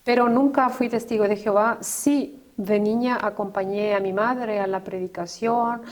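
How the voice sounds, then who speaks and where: Chilean woman, fifties, interview guest; reflective, halting delivery with frequent restarts. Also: medium pitch, fairly even intonation. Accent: Chilean Spanish. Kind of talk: personal testimony in conversation.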